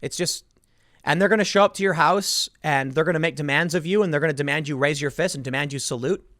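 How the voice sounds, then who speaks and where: A man's voice: a little high, fast, a bit bright, dry recording, clean studio sound. A man giving impassioned solo political commentary as a host, emphatic and indignant, repeating phrases for stress.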